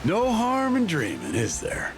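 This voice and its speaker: A man's voice: sits high, huge pitch swings, a little slow, faint music behind, full character voice. An actor playing an easygoing seasoned pirate crewman in a video game, talking in a folksy, musing way. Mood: wistful, earnest. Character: seasoned easygoing male pirate crewman with old-fashioned ideals.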